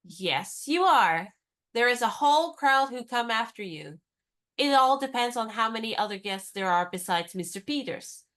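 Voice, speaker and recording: An actress in a radio play playing a spirited young woman disguised as a lady's maid, talking in a brisk, teasing, knowing way. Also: a little high, lively intonation, a bit bright.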